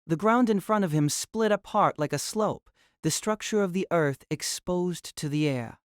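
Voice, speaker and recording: A man's voice: high-pitched, moderate pace, clean tone, dry recording, clean studio sound. A man reading scripted narration of a fantasy story.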